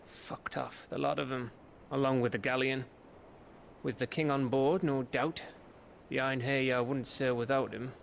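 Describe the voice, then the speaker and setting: An actor playing a gruff, loyal comrade with a British accent, blunt and colloquial, in a game cutscene. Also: male voice, mid-range voice, steady tone, measured pace, slight background noise. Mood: blunt, irritated. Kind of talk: video game cutscene acting.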